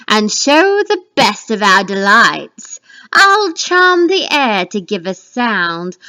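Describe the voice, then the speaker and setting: An eerie, commanding witch of Shakespearean tragedy, put on by a woman. The voice is high and bright, and it draws out each incantation very slowly and emphatically. The recording sounds somewhat dull. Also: exaggerated voice. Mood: gleeful. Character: witches and their prophetic apparitions, eerie and commanding. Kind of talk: dramatic reading of Shakespeare.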